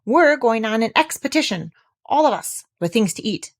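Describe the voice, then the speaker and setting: A woman's voice: fairly high pitch, animated, moderate pace. A woman voicing a cheerful, simple-minded male teddy bear who talks in an eager, singsong way.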